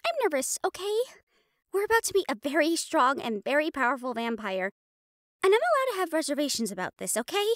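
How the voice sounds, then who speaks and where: A woman's voice: sits high, sing-song, brisk, a bit bright, dry recording, clean studio sound, voice pushed up. A woman playing a feisty young female hunter, brash and casual, in an audio roleplay. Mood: nervous.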